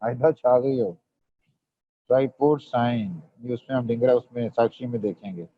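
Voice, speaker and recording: A man's voice: medium pitch, moderate pace. A man gives an online medical revision lecture, quizzing students and mixing Hindi with English terms. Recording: dull dry lo-fi audio.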